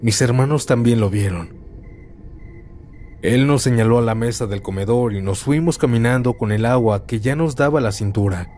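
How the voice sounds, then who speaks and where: A man reading first-person horror stories aloud as a scripted narration, in a calm, steady storytelling manner. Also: mid-range voice, moderate pace, a little hiss or hum.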